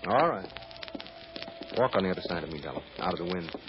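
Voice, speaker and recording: A man's voice: low-pitched, animated, fast. An actor playing a shrewd, self-assured male lawyer, wry and probing, in vintage crime drama style. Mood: brooding. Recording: muffled, noisy vintage drama recording.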